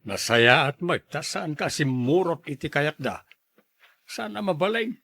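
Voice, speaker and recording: A man's voice: fairly high pitch, very animated, unhurried, creaky, sharp tone, no room sound, full character voice. A man acting a protective middle-aged father who advises his daughter earnestly in an Ilocano radio drama. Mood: concerned.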